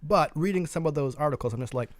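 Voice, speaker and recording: A man's voice: fairly high pitch, quick pace, dry recording. A man co-hosting a live stream, giving casual, opinionated takes on gaming news in a sardonic, sweary way.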